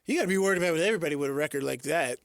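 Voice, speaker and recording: A man's voice: on the high side, racing, no echo, studio quality. A man co-hosting a sports radio show, chatting casually about games and players. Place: radio studio.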